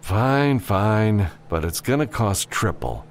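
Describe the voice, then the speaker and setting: A man voicing a gruff, reluctant freelance spaceship captain, curt and businesslike, in a science fiction audiobook. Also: lowish voice, very animated, slow pace, a little hiss or hum, voice pushed up, heavily acted. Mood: grudging.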